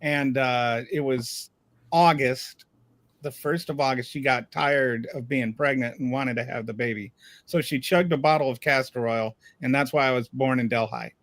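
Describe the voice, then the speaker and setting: Man, host, from Louisiana, halting delivery, mid pitch, dry sound. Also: fairly even intonation, a bit bright, no echo. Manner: halting, full of fillers and restarts. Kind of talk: casual panel chat.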